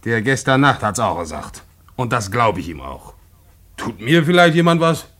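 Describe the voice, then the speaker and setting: An actor playing a suspicious, dogmatic pub landlord in a German radio drama, preachy and self-righteous. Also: male voice, mid-range voice, sing-song, moderate pace, a bit bright.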